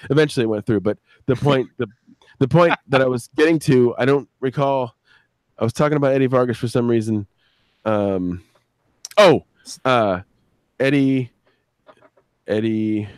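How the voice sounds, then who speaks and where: A man's voice: medium pitch, normal speed, long pauses, a little mellow, clean tone, no room sound. A man, a show creator and guest, chatting casually on a live stream, telling rambling, profane anecdotes with quoted dialogue.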